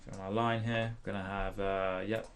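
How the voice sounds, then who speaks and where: A man hosting a casual software tutorial, thinking aloud hesitantly as he demonstrates. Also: fairly low pitch, little pitch movement, moderate pace, a little hiss or hum. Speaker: tutorial host.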